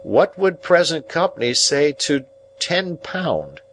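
A male narrator acts an earnest, simple working man from a storybook. He labours slowly over his phrases, rustic and kindly, lifted in pitch, with faint noise behind. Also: sing-song, a bit bright, full character voice. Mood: quietly delighted. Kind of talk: audiobook character voice.

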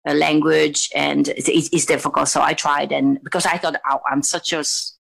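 A woman from Italy with an Italian accent, telling stories as an interview guest in a chatty, animated way with frequent restarts. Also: deep voice, normal speed, vocal fry. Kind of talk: casual conversational storytelling.

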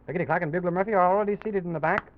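A man's voice: high-pitched, racing. A man hosting an old-time children's radio story, talking in simple phrases aimed at young children. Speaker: children's show host and storyteller. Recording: noisy, muffled old radio recording with clicks.

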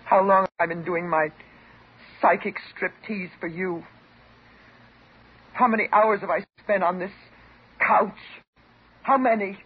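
An actress playing a bitter, neurotic psychiatric patient, sardonic and intense, in a 1950s radio drama. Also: female voice, mid-range voice, unhurried, pauses often, breathy edge. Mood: bitter, agitated. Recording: muffled, noisy 1950s radio recording.

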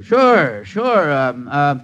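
An actor playing a nervous, furtive, greedy husband in a 1950s radio drama, talking in a hushed, halting way. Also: male voice, on the high side, big pitch swings, dull audio, exaggerated voice. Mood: nervous, hushing. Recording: noisy old radio recording.

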